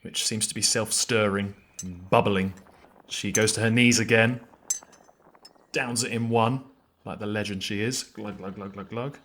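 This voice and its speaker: A man's voice: lowish voice, fairly even intonation, a little slow, a bit bright. A man playing a tabletop roleplaying game, describing his character's actions in dramatic third person. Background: faint background music.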